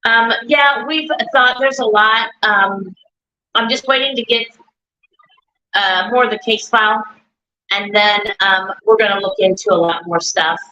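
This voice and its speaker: A woman speaking loudly and at length on a live stream from an echoey room, repeating her points as she talks. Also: mid-range voice, a little slow, highs cut off. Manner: loud, talkative, repeats herself. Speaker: guest, bereaved mother.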